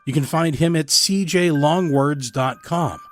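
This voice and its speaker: A man's voice: fairly high pitch, unhurried. A man who produces a story podcast, reading scripted credits with casual asides. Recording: faint music behind the voice.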